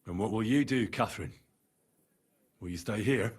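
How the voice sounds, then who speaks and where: An actor playing a calm, inquisitive young medieval man in a video game, with a husky voice and conversational delivery. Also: on the low side, normal speed. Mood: thoughtful. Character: level-headed, inquisitive young medieval adventurer. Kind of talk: video game voice acting, dramatic dialogue.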